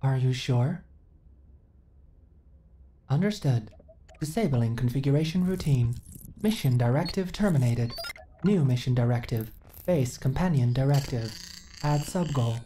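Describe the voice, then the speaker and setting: Woman as a low, mellow, formal female android. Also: a little slow, long pauses, slight background noise. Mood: flat, hesitant. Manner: stilted, formal, literal, emotionally flat delivery. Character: female companion android, earnest, uncertain, eager to serve. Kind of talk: ASMR roleplay audio drama.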